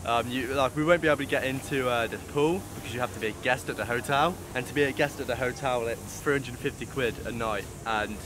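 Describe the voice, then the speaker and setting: A young man vlogging casually outdoors in a city, chatty and jet lagged, his voice going hoarse. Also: mid-range voice, fast, hardly any pauses, noisy background. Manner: excitable, chatty, addresses viewers as guys. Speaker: travel vlogger. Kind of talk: casual travel vlog. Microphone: camera-mounted shotgun mic. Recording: loud music playing behind.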